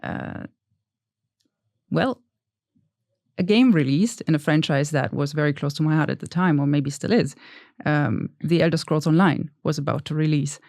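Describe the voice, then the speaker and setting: A woman's voice: low-pitched, normal speed, gravelly, pauses often, a little mellow, no room sound. Woman on a dry close mic. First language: German. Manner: thoughtful, hesitant, self-deprecating. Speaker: podcast guest. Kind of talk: casual interview chat.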